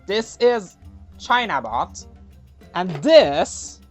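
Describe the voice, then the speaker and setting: Woman, animated intonation, chatty storytelling host, children's folktale, faint music behind. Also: medium pitch, extremely slow. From Iran. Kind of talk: casual introduction and folktale narration for children.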